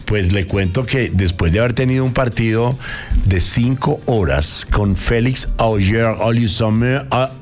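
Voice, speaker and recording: A man hosting a morning radio show, chatting about sports in an animated way and speaking straight to listeners. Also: mid-range voice, measured pace. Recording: music bed behind the voice. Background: background music.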